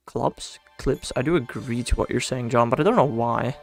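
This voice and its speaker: Gravelly Dutch-accented man streaming. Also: mid-range voice, normal speed, soft background music. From Netherlands. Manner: rambling, self-deprecating, thinks aloud with restarts. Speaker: live streamer. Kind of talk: casual live-stream chat.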